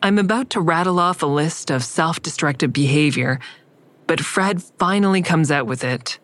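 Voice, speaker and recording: An actress playing an observant, wry counselor, narrating in the first person in a measured, introspective way. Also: female voice, low-pitched, fairly bright tone, no room sound. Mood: reflective, observant. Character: observant, wry female counselor narrating her own story.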